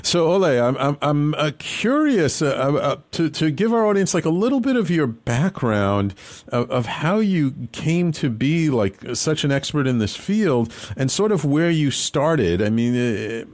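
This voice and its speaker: Halting male podcast host. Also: a little high, very animated, a little mellow. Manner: halting, many fillers and restarts. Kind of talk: interview hosting.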